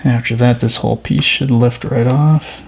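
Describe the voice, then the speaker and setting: A man's voice: mid-range voice, steady tone, moderate pace, vocal fry, slight background noise. A man giving casual, informal hands-on commentary, thinking aloud as he works. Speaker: watch restoration video host.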